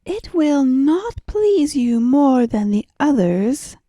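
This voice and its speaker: A woman's voice: high-pitched, sing-song, slow, mellow, no room sound, studio quality, full character voice. A woman voicing a sly, greedy female cat in a storybook, with a smug, coaxing manner.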